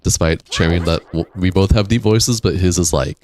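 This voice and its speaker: A young man with a deep voice, casually streaming a game and chatting with viewers in a laid-back, rambling way. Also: moderate pace, a little mellow. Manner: laid-back, rambling, reads and answers chat. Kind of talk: casual live-stream chat while gaming. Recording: game music playing behind.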